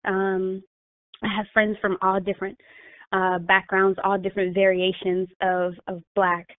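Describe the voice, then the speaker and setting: A woman's voice: mid-range voice, monotone, unhurried. Woman talking over a tinny phone line. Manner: thoughtful, with many fillers and restarts. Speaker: guest life coach. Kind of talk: casual interview talk.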